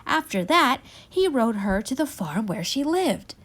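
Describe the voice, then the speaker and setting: A woman reads spooky stories aloud in a fairly high voice. Her delivery is dramatic and deliberate, very slow, with a lot of melodic movement from phrase to phrase. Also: very animated, breathy edge. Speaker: library story time reader. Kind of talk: reading spooky folk tales aloud to children.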